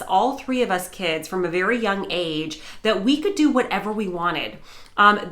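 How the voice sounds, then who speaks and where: An American woman in middle age, talking earnestly and enthusiastically to camera in a personal vlog. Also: mid-range voice. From United States. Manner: earnest and enthusiastic, warm storytelling. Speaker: vlogger and news anchor.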